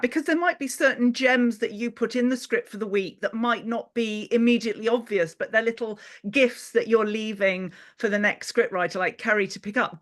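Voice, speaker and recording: A woman's voice: on the high side, a bit bright. A woman hosting a podcast, putting questions to guests in a conversational panel interview.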